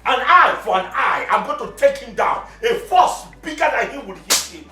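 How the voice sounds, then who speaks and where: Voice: mid-range voice, animated, normal speed, roomy sound, recorded from a distance, music in the background, lightly acted. An actor playing an injured prince who threatens revenge on his brother. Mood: threatening. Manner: taunting, threatening. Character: vengeful young prince, injured and boastful.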